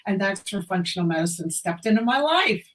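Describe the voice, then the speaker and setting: A woman's voice: mid-range voice, lively intonation, brisk, a bit bright. An American woman in her sixties, a doctor being interviewed, speaking with emphatic enthusiasm. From USA. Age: 60s. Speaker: medical doctor guest. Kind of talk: conversational interview.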